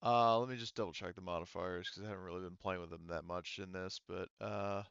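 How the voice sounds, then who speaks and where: Low male voice, game master, halting rules explanations, smooth tone, slightly muffled dry recording. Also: steady tone, a bit bright, no room sound. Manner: halting, thinking aloud while checking rules. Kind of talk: running a tabletop roleplaying game, explaining rules.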